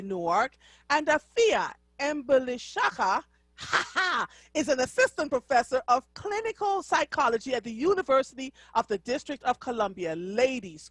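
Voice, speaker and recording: A woman's voice: high-pitched, a bit bright, no echo. A middle-aged woman from Jamaica with a Jamaican accent, hosting a live radio talk show in an effusive, exclamatory way and laughing often.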